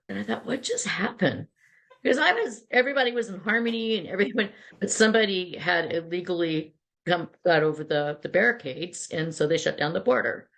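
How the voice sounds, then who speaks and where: A middle-aged woman, a PR agency founder, telling travel stories in a chatty interview with many restarts and asides. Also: sits low. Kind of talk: casual interview storytelling.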